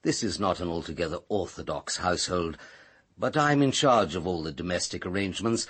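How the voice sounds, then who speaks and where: Deep, husky, stiffly correct butler. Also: male voice, expressive, fairly bright tone, heavily acted. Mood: stiffly formal. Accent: British. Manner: formal, measured, deferential. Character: very tall, stiffly correct male British butler. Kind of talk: radio drama acting.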